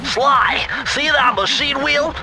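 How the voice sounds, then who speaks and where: A nervous, nerdy male turtle from a cartoon game, pitched extremely high. He is fussy and wordy, explaining things with theatrical precision. Music plays clearly behind him. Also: takes it slowly, heavily acted. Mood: pedantic briefing. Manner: pedantic, wordy, theatrical delivery. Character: brainy, anxious, fussy male turtle gadget expert. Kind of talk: cartoon video game voice acting.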